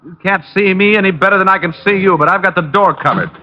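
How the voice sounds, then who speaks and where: An American man playing a tough, wry private detective in 1940s radio drama, delivering his lines deadpan. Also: sits high, quick pace, a little hiss or hum, natural voice. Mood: taunting. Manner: deadpan, wisecracking. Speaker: lead actor and narrator. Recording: muffled old radio recording with music.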